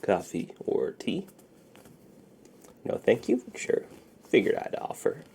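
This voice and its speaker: A man doing a soft-spoken ASMR roleplay as a shady, friendly underground dealer, with slow folksy patter that often drops to a near-whisper. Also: wildly swooping pitch, a little hiss or hum. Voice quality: gravelly, low, often breaking into near-whisper.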